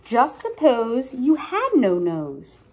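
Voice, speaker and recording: A woman's voice: on the high side, wildly swooping pitch, darkish tone. A young adult woman reading a picture book aloud like a teacher, with emphatic phrasing and questions for a young child. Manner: deliberate, emphatic storybook phrasing, prompting with questions. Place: indoor room at home.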